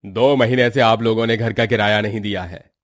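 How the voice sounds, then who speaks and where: An actor playing a hard, threatening landlord in a moral story, blunt and demanding in manner. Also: male voice, fairly low pitch, fairly even intonation, fairly quick, close to an everyday voice.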